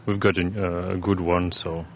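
A man's voice: sits low, little pitch movement, normal speed, slight background noise. A man from Switzerland answering questions in a street interview outdoors, easygoing and laughing often. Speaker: member of the public. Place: outdoors at a gathering.

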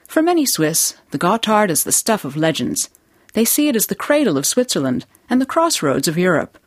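A woman hosting a radio program in a studio, reading scripted narration in a measured presenting manner. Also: medium pitch, big pitch swings, no echo. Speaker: program host.

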